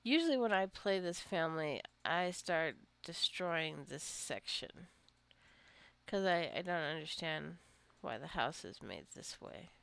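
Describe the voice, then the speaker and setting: A woman giving casual, rambling gameplay commentary as a let's-play host, musing aloud to the game's characters in a creaky voice. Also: low-pitched, animated, a little slow, a bit bright, no echo.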